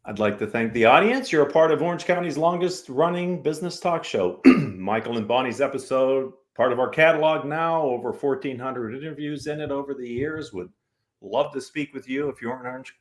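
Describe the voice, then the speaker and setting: Man, American accent, talk show host, mid-pitched voice, conversational, affirming, business interview style. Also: fairly quick.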